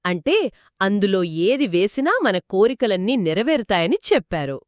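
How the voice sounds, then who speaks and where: A woman narrating a folk tale in a lively, expressive storytelling manner, trading lines with a co-host. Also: mid-range voice, normal speed, dry recording, clean studio sound. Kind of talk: scripted two-voice story narration.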